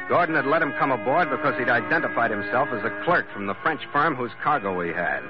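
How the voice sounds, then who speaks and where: Actor as animated, hard-boiled sea captain. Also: male voice, mid-range voice, lightly acted. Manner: hard-boiled, clipped, sardonic. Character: tough, wry American sea captain, adult man. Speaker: lead actor and first-person narrator. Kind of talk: 1940s-50s radio adventure narration and acting. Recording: dull old radio recording.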